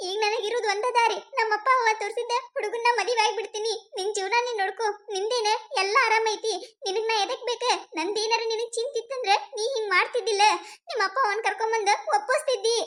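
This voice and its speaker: A woman's voice: very high voice, steady tone, quick pace, a bit bright. A woman with a North Karnataka Kannada accent acting as a distressed young college girl pleading with her boyfriend. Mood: exasperated. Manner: pleading, breaks into teary laughter. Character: young woman college student, anguished, torn over marriage. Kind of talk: amateur skit acting.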